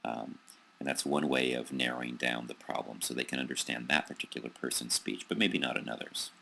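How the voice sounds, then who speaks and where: Very deep, gravelly American male lecturer. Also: steady tone, a little fast, bright, forceful tone, a little hiss or hum. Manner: hesitant, many ums and restarts. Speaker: psychology lecturer. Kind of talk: recorded lecture over slides.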